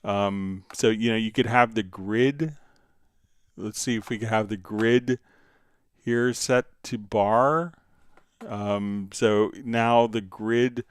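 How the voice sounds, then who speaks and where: A man hosting a livestream tutorial, answering viewer questions in a casual, halting, rambling way. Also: lowish voice, clean tone, dry recording, clean studio sound. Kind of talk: unscripted software tutorial, casual explaining.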